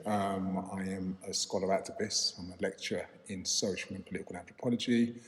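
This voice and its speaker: Middle-aged man, low-pitched, thoughtful interview answers, recorded in a reverberant hall. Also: fairly even intonation, soft background music. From London, England. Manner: thoughtful, measured, frequent "um" and restarts. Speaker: interviewee, filmmaker and lecturer. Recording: roomy echo with long reverb, faint background noise.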